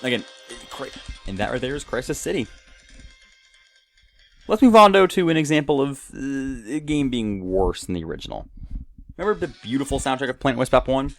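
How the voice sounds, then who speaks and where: A man's voice: mid-range voice, lively intonation, a little fast, long pauses, fairly bright tone, no echo. Male gameplay host, mid-range voice, loose rambling commentary full of tangents, music playing behind him. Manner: rambling, digressive, jumps between asides. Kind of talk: casual off-the-cuff gameplay commentary. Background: game music.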